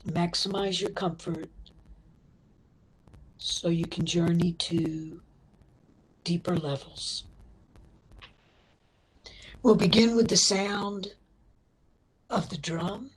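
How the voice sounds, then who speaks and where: Woman doing guided meditation, real slow n breathy, kinda low voice, gentle short phrases. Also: fairly even intonation, slight background noise, rough recording. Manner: gentle, measured, pausing between short phrases. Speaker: meditation instructor.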